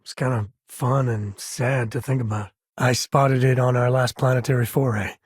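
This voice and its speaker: An actor voicing an easygoing, wry spacefaring ex-ranger and devoted father in a video game, with a husky, raspy voice and folksy phrasing. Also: medium pitch, fairly even intonation, normal speed, no room sound, studio quality, lightly acted. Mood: dry, casual.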